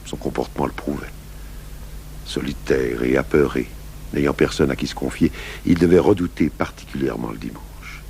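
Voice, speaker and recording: An actor playing a calm, shrewd middle-aged police commissioner in a radio crime drama, speaking in a measured, deliberate, probing way. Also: male voice, a bass voice. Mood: reflective, grave. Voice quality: gravelly. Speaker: lead detective and first-person narrator. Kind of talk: 1980s French radio crime drama acting. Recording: old radio broadcast recording, faint hum and hiss.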